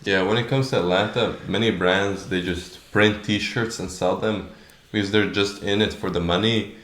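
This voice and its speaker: A young man of about twenty speaks English with a Latvian accent in a low-pitched voice. He chats informally, with some slang, as the founder of a small brand answering questions. The room around him is clearly echoey. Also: steady tone, moderate pace, fairly bright tone. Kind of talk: casual Q&A chat.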